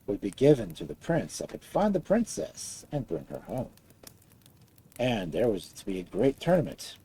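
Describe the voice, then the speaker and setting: A storyteller reading a fairy tale aloud in an expressive style. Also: medium pitch, husky, rough recording.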